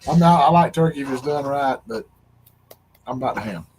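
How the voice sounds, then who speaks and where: A man's voice: medium pitch, lively intonation, a little fast, darkish tone, poor audio. Southern us guy rambling n joking on a livestream, folksy, bit of noise in the background. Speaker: livestream co-host. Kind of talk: casual chat and storytelling.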